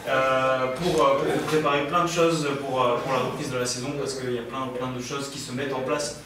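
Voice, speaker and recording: A man hosting a radio show in a casual, halting way, often repeating phrases as he goes. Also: mid-range voice, level tone. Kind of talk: casual presenting. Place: radio studio. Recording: roomy, distant mic, noisy, clicks.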